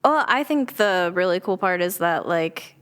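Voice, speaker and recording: A woman's voice: lowish voice, lively intonation, moderate pace, a bit bright, smooth. A woman who is a theatre actor, chatting casually as an interview guest, informal and peppering her talk with "like". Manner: informal, hedging with frequent "like". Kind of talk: casual chat.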